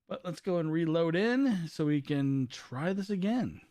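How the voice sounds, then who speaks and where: A man's voice: a little high, lively intonation, measured pace, darkish tone, no echo, studio quality. A man with a voice worn from overuse, rambling casually through live gameplay commentary and often correcting himself. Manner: rambling, self-correcting chatter. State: losing his voice. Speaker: live streamer.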